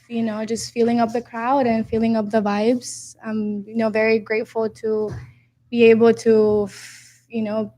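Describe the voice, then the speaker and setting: A woman's voice: on the high side, darkish tone, rough recording. A young woman from Honduras, a DJ and producer guest, talking casually and hesitantly with many fillers. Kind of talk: casual interview chat.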